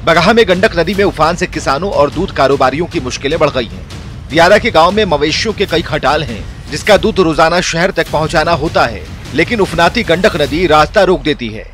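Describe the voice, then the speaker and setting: A man reading a scripted news voice-over in a steady, formal way. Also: fairly high pitch, a little fast, faint music behind. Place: studio voice-over.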